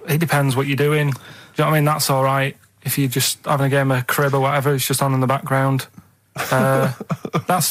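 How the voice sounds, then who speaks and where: A man's voice: medium pitch, a little hiss or hum. A man with a Manchester accent chatting casually on radio, hesitant, rambling and plain-spoken. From Manchester, England. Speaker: radio show regular. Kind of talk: casual chat. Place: radio studio.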